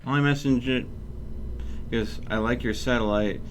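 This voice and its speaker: A man's voice: medium pitch, fairly even intonation, moderate pace, slight background noise. A man giving casual live-stream gameplay commentary, muttering his thoughts aloud in a self-deprecating way. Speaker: gaming streamer.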